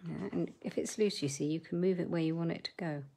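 A woman's voice: lowish voice, steady tone, quick pace, vocal fry, warm tone. Chatty woman at her craft desk. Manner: chatty, muttering asides while working. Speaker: papercraft host. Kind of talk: live crafting demo, chatting with viewers. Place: home craft desk, indoors.

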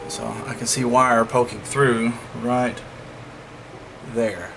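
A man's voice: medium pitch, steady tone, slow pace. A man giving informal, folksy hands-on commentary, thinking aloud as he hosts a repair vlog indoors at a work table. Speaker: host of a DIY electronics repair vlog. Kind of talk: casual hands-on commentary while working. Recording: home recording with clear background noise.

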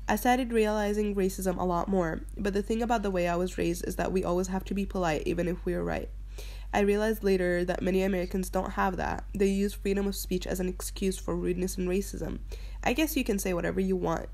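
A young woman from Palestine, a student, narrating her own immigration story in a quiet, reflective way. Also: on the low side. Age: young adult. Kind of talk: personal narration.

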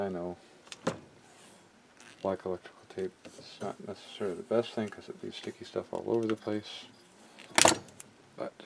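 A man narrating a hands-on repair casually, haltingly thinking aloud as he works. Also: lowish voice, creaky, background noise. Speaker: repair hobbyist and video maker. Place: indoor cluttered electronics workbench.

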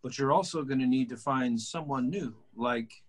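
Guy playing a husky therapist, mid pitch, sympathetic but pushy, sitcom table read on video call. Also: moderate pace, close to an everyday voice. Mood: firm, sympathetic.

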